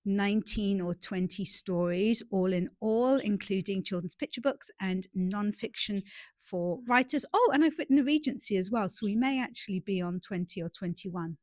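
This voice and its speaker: A woman's voice: medium pitch, normal speed, a little mellow, no room sound, studio quality. A woman with a stuffy, nasal voice from a cold, chatting casually and self-deprecatingly to camera outdoors in a garden. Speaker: romance author and YouTuber.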